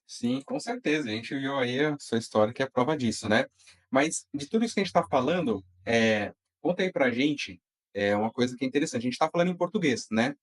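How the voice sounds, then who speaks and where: A man hosting a casual interview podcast. He speaks at a medium pitch in a relaxed, easygoing manner, with plenty of fillers. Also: fairly quick. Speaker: podcast host.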